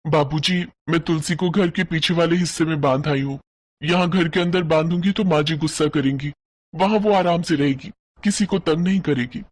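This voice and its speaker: A man's voice: fairly high pitch, normal speed, voice pushed up, natural voice. A man voicing a sweet, dutiful young village bride, speaking politely and earnestly in a bedtime story.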